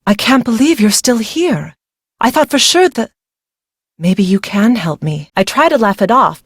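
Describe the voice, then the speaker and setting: A woman acts out a troubled young bride in a mystery video game. She sounds brooding and dramatic, fearful yet short-tempered, in a middle-pitched voice with a very dry, studio-clean sound. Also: dry recording, lightly acted. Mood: uneasy, fatalistic.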